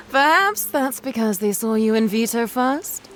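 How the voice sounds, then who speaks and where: An actress playing a shrewd, commanding female ship's captain in an audio drama, speaking with measured authority. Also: a little high, lively intonation, a little hiss or hum. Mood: wry, probing.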